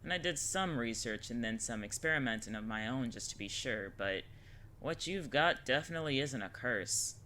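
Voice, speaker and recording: Woman playing a wry witch mentor, very bright voice. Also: very deep voice, animated, normal speed, slight background noise, heavily acted. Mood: serious, explaining. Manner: casual, slangy, wry. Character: wry, teasing, self-described lazy older witch mentor woman. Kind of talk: scripted audio drama acting.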